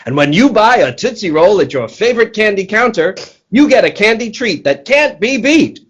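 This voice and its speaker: A man's voice: sits high, very animated, moderate pace. An actor playing an eager, folksy radio sponsor announcer in 1940s style, with a bouncy, theatrical salesman's delivery. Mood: eager, selling. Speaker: announcer and circus owner actor.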